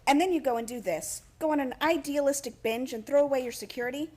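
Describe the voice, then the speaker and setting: An actress playing a sensible, doubting sweetheart in a radio play, emphatic and reproachful. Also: female voice, high-pitched, quick pace, darkish tone, lightly acted. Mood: tender, disappointed. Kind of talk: stage-like radio play acting.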